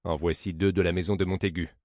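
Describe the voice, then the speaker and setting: A man's voice: low voice, level tone, quick pace, darkish tone, no echo, pitched below the performer's own voice, close to an everyday voice. A man playing a sly, sceptical servant in a stage play, teasing with dry sarcasm. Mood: sarcastic teasing. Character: Grégoire, mocking, sly, sceptical male servant.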